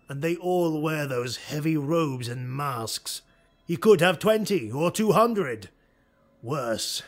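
A man voicing a cold, scheming elf lord. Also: fairly high pitch. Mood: wary. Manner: calculating, measured, commanding. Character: cold scheming dark elf nobleman, male.

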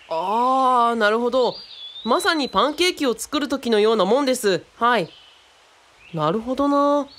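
A woman's voice: fairly high pitch, a bit bright, slight background noise. A woman playing a friendly, boyish youngster who explains things casually and patiently in a radio drama.

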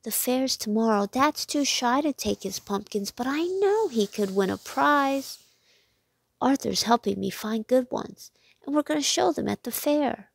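A woman voicing an excitable, keen young farm boy in a children's storybook. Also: fairly high pitch, a little slow, gravelly, husky, no echo, pitched above the performer's own voice, full character voice. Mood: earnest, excited.

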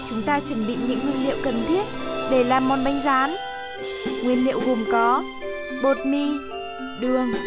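Cheery cartoon bear cooking presenter: high voice, friendly instruction over loud music. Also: female voice. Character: cheery bear hosting a television cooking show. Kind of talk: scripted cooking-show presentation.

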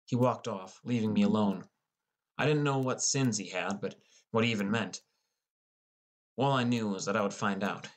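A man with a fairly low voice narrates a first-person story. It is scripted audiobook reading. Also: normal speed, long pauses.

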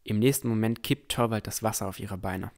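A man playing a dreamy, curious young villager who narrates a fantasy audio drama in measured tones. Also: lowish voice, darkish tone, lightly acted. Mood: puzzled, tense. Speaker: narrator and lead character.

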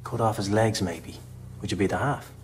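A middle-aged Irish man in a film scene, talking in a dry, musing, deadpan way. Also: lowish voice, moderate pace, a little hiss or hum. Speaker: actor in film clip. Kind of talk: film dialogue.

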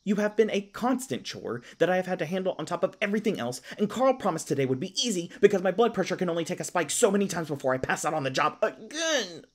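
Flustered young male elf park ranger, played by a man, audio roleplay. Awkward, folksy, stammering, highly animated intonation. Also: quick pace, few pauses. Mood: exasperated, frantic.

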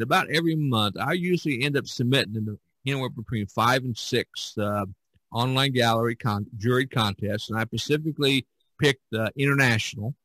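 A man's voice: mid-range voice, pushed and bright, no echo, poor audio. Man rambling through a casual podcast story. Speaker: podcast host and artist.

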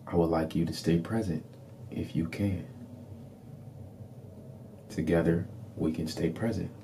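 A man with a dark, soft-edged voice leads a guided meditation. He speaks in calm, deliberate, repeated phrases with very little rise and fall. A faint background noise sits behind him. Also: sits low. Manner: calm, deliberate, soothing instruction.

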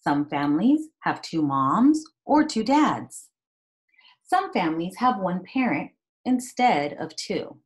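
A woman's voice: on the low side, very animated, takes it slowly. A woman in her thirties speaking as a teacher to young children, reading a picture book aloud and talking about it in simple phrases. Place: small indoor room at home.

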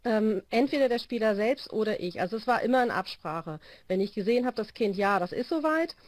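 A woman's voice: on the high side, animated, fairly quick, no room sound. A woman in her forties, a volleyball coach, explaining her training system in a video call interview with a phone held to her ear. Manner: explanatory, many hesitation fillers. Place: small room indoors.

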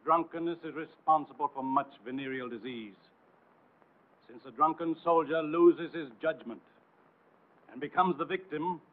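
A man narrating a 1940s military training film in a formal, authoritative, didactic and emphatic scripted style. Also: a little high. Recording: noisy, tinny 1940s film soundtrack.

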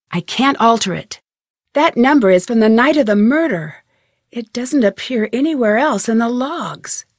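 A woman playing a young, inquisitive and determined female amateur detective in a video game, speaking her scripted lines clearly. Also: on the high side, dry recording, clean studio sound. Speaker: voice actress in a detective adventure game.

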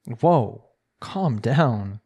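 A man performing an ASMR roleplay as a smug, coaxing young villain hypnotist, speaking in a soothing, deliberate way. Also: medium pitch, expressive, dark tone, slightly rough. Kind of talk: ASMR roleplay acting, slow hypnotic monologue.

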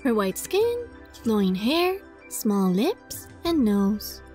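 Animated high-voiced woman reading a game. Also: slow, soft background music. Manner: animated, giggly, playfully exasperated, stumbles over reading. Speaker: let's player reading a visual novel aloud. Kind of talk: dramatic read-aloud mixed with casual reactions.

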